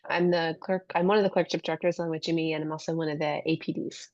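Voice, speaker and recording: A woman clerkship director with a cold, sounding nasal and speaking quickly. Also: low-pitched, flat delivery, a little mellow, dry recording. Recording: dry, slightly dull audio.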